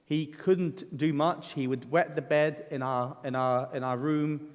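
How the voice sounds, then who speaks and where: A man's voice: a little high, fairly even intonation, measured pace. A middle-aged man from Lebanon preaching a sermon, telling his own story in an earnest, conversational way with frequent ums and restarts. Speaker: guest preacher. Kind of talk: sermon with personal testimony. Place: church hall. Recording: church hall reverb.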